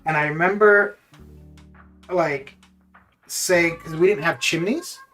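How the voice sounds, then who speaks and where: A live streamer of middling pitch, chatting casually and often amused at their own remarks. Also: slow, a bit bright. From Canada, born in the Caribbean. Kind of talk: casual chat while streaming. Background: music with guitar.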